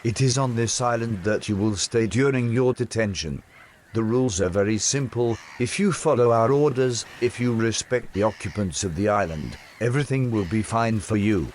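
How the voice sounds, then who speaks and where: A man's voice: on the low side, normal speed, darkish tone, slight background noise, lightly acted. A man playing the courteous but firm ruler of a prison island in an audio drama, speaking formally and with command. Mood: calm, authoritative. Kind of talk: audio drama dialogue in English.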